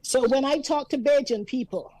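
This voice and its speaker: A woman in her fifties reminisces in a chatty, storytelling way, laughing easily as she talks. Her voice sits a little high yet sounds mellow and dark in tone. The sound is close and dry, with no room around it. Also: normal speed, breathy edge, no room sound, low-quality recording. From Antigua. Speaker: guest. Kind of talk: casual reminiscing conversation.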